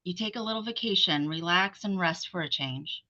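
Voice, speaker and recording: A woman's voice: on the low side, normal speed, sharp tone. A woman playing a controlling, soothing mother in a table read of a horror script. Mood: firm, coaxing. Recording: dull, band-limited sound.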